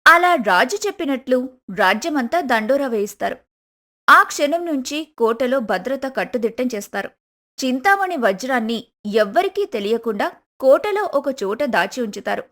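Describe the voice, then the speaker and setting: Female narrator for scripted tales, pitched a little high. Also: sing-song, normal speed, fairly bright tone.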